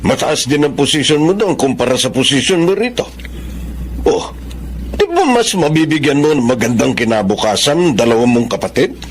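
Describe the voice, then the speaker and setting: A man's voice: mid-range voice, lively intonation, normal speed, a little hiss or hum, lightly acted. A man playing a company boss in a Filipino radio drama, formal, persuasive and insistent, with a Filipino accent. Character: Sir Edward, persuasive male company boss.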